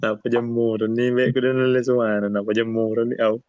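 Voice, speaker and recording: A man's voice: medium pitch, little pitch movement, brisk, a little mellow, no echo, lo-fi sound. A man from chatting casually as a live streamer.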